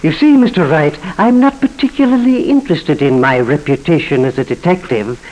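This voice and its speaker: An actor playing an earnest, scholarly professor-detective who speaks in an articulate, theatrical way, in a 1940s radio drama. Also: male voice, a little high, expressive, fairly quick, darkish tone, highs cut off, lightly acted. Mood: earnest, reflective. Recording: vintage 1940s radio broadcast.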